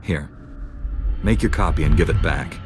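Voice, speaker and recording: An actor playing a calm, resolute knightly hero in a video game, speaking directly. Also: male voice, extremely low pitch, normal speed, over music. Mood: composed. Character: resolute, composed male Jedi knight hero.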